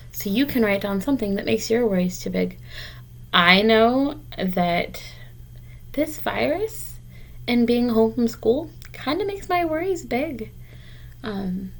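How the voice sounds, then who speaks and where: A woman's voice: fairly high pitch, gravelly. A woman teacher reading a picture book aloud to young children, explaining and encouraging them in simple, direct words.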